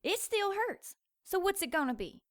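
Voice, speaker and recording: Plain-spoken young woman, high voice, very lively intonation, stubborn, folksy, audio drama. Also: normal speed, dry recording, studio quality, heavily acted. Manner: folksy, colloquial, insistent.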